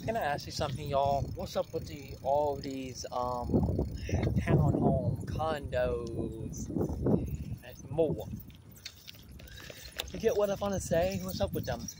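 A young American man rambling casually in a vlog, halting and repeating himself, recorded on a phone at arm's length outdoors. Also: medium pitch. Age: young adult. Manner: repetitive, halting, trailing off. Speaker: daily vlogger. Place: outdoors on a bike by streets. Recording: very noisy outdoor recording, wind and vehicles.

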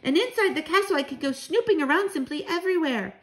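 Woman putting on an eager high-pitched mouse boy. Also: big pitch swings, normal speed. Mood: eager, excited. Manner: eager, exclaiming, breathless questioning. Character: excitable young boy turned mouse, eager, questioning grandchild.

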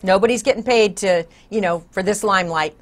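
A woman's voice: mid-range voice, a little fast. An older woman with an American accent, hosting a conversational interview as a talk show host. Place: small indoor TV studio.

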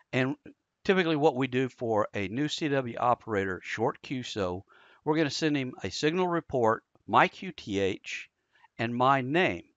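A man's voice: mid-range voice, fairly bright tone, no room sound, dull audio. An American man giving a casual screen-recorded tutorial, explaining informally step by step. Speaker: amateur radio instructor.

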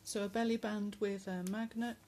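A woman talks casually while showing a handmade journal. She speaks softly, with many pauses and fillers, and her voice is going hoarse. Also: medium pitch, flat delivery, slight background noise. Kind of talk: casual craft flip-through narration. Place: indoors at a table at home. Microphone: phone camera above the table.

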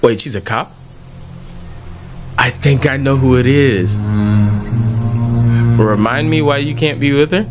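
A young male streamer talks casually through a video game, with a mid-range voice. He jokes, uses slang, and talks back to the characters. Loud game music plays under his voice. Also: medium pitch, unhurried, pauses often. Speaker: gaming streamer. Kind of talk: casual let's-play commentary.

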